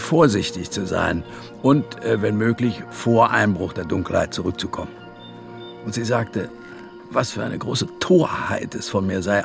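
Gravelly old adventurer, reflective. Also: male voice, fairly low pitch, in a large hall. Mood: recounting. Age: older. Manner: musing, dramatic storytelling with pauses and interjections. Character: reflective old adventurer and butterfly collector, once bold. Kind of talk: radio drama monologue, storytelling.

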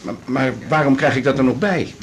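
A man's voice: mid-range voice, brisk, slight background noise, natural voice. An actor playing a worried, plain-spoken male patient of about fifty in a radio drama, hesitant and embarrassed. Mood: embarrassed, anxious. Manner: hesitant, stammering, embarrassed, colloquial. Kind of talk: broadcast radio drama acting.

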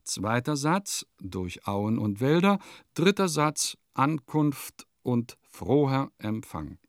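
An actor playing a vain, eccentric composer who muses theatrically and pompously in a radio play monologue. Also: male voice, medium pitch, big pitch swings, takes it slowly, no echo, studio quality. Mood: grandiose, self-satisfied. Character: vain, eccentric male composer musing in his diary.

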